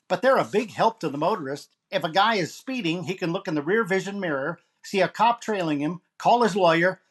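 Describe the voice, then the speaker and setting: A man's voice: high-pitched, a bit bright. A man playing a wisecracking comedian, delivering a topical monologue in 1940s radio comedy style. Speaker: actor playing a comedian host.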